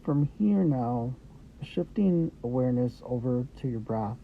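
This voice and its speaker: A man guiding a meditation with gentle, unhurried instructions in short phrases with pauses between them. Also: mid-range voice, animated. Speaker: meditation guide. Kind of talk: guided meditation, Yoga Nidra. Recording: dull lo-fi audio with background noise.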